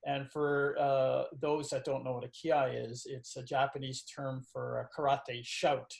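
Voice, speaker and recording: A man who teaches karate, hosting a casual video-call interview in a relaxed, chatty way with lots of fillers. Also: mid-range voice, measured pace, vocal fry.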